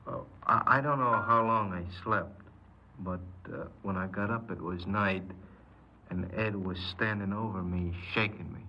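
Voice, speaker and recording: An actor playing a bitter, paranoid killer giving a halting confession in a 1950s radio crime drama. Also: male voice, fairly low pitch, close to an everyday voice. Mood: brooding recollection. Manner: halting, brooding, resigned confessional delivery. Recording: dull, noisy 1950s radio recording.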